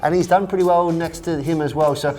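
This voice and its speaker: Man, co-host, nasal and congested, relaxed football talk, faint music under. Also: a little high, brisk. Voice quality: nasal, blocked up. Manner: easygoing, lots of fillers and agreement. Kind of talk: casual football chat.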